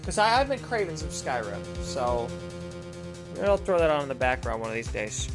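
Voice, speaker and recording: A woman streamer with a deep voice chats in a loose, rambling way between game moments, full of fillers and restarts. Game music plays clearly behind her. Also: sing-song, moderate pace. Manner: rambling, chatty, many fillers. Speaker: gaming streamer. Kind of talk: casual chat while playing.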